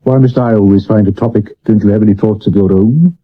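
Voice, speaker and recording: An actor playing a vain, hard-drinking Victorian stage actor with a British accent and grandiloquent delivery, in a 1970s radio drama. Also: male voice, lowish voice, steady tone, fairly quick, natural voice. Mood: petulant, bored. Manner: pompous, grandiloquent, given to sudden shouts. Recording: muffled old medium wave radio recording.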